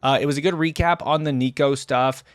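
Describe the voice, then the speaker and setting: A man's voice: medium pitch, steady tone, a little fast, no echo, studio quality. A man hosting a reaction video, reading aloud and commenting casually. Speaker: host of a game character reaction video.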